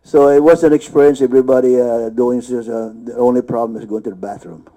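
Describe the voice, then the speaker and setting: A man's voice: medium pitch, fairly even intonation, fast talker, mellow, poor audio. A man in his fifties, an actor and festival co-founder, speaking casually on a film festival panel with many fillers and frequent chuckles. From born in Hawaii, raised in the Philippines. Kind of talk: panel discussion, casual storytelling.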